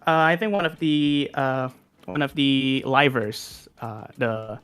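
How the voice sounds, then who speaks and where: Hesitant Indonesian-accented man, bright clear voice. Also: mid-range voice, takes it slowly, soft background music. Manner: hesitant, many ums and self-corrections. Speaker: music composer guest. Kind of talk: casual interview chat.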